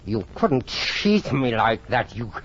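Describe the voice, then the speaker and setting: A murderous nobleman in an old radio play, slow and deliberate, with sly false courtesy. His theatrical delivery carries cruel glee through a muffled, noisy vintage broadcast. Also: mid-range voice, sing-song. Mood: frantic, cheated. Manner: sly, mock-friendly, theatrical. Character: vengeful murderous Italian nobleman, mock-courteous, gleefully cruel. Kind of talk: 1940s radio drama acting.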